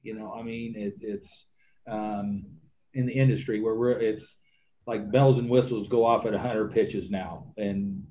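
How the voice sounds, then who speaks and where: A man in his sixties, a baseball manager answering questions at a press conference, halting and repeating words, speaking into a desk microphone. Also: fairly low pitch, fairly even intonation, dark tone, rough recording. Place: press conference room with backdrop.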